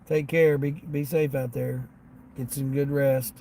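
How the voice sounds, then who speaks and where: A man with a light Southern American accent talks in a mid-pitched voice, rambling casually like a driver hosting a livestream. His speech is folksy and unscripted. A faint vehicle noise sits behind him. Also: measured pace, creaky. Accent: American with a Southern tinge. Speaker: livestream host, gig delivery driver. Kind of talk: casual livestream chat, replying to viewers. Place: inside a parked vehicle. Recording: steady vehicle and ambient noise.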